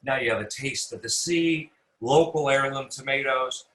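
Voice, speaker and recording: American male chef, bright forceful voice, casual interview guest who doubles back on his words, roomy echo. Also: mid-range voice, normal speed, a little hiss or hum. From New York City, United States.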